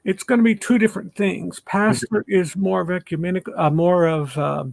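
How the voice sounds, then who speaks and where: A retired older pastor hosting a casual online chat, rambling with many fillers and restarts. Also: male voice, sits high, steady tone, a little fast, creaky, no echo.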